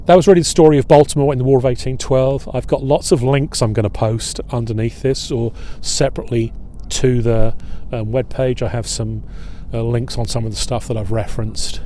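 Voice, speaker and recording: A middle-aged man leading an outdoor history tour at a waterfront fort. He talks in a relaxed, halting way with many filler pauses. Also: medium pitch, slight background noise. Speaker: historian and battlefield guide.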